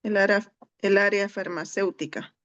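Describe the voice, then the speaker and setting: A woman's voice: mid-range voice, steady tone, normal speed, fairly bright tone. A woman introducing herself plainly and modestly as a student in an online class. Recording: dull lo-fi audio.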